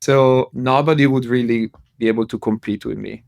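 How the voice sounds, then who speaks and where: Italian man with a gravelly voice. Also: mid-range voice, steady tone, normal speed, no room sound. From Italy. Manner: warm, laughs often, many fillers. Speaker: podcast guest. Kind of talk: remote interview. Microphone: headset on video call.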